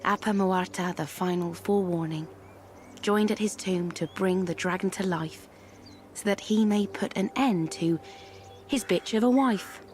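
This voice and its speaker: An actress playing a wry, worldly herbalist and fortune-teller in a game cutscene, musing with a theatrical touch. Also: female voice, mid-range voice, measured pace, darkish tone, a little hiss or hum, natural voice. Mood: musing, sardonic. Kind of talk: video game cutscene acting. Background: music.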